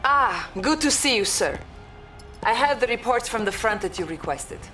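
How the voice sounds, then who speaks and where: Higher-voiced dutiful Soviet aide. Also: female voice, lively intonation, normal speed, bright, forceful tone, soft background music. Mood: brisk, pleased. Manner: formal, deferential. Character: crisp, dutiful female Soviet military aide. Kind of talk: dramatic game cutscene acting.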